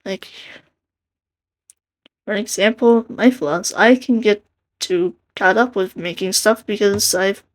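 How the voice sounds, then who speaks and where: A soft-spoken young woman with a quiet voice, giving earnest casual commentary and laughing between thoughts. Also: medium pitch, slow pace, pauses often. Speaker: art commentary video maker. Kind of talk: casual commentary over a speedpaint.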